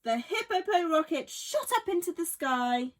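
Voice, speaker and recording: A woman reading a children's bedtime story expressively, with dramatic emphasis and pauses. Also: very high-pitched, expressive.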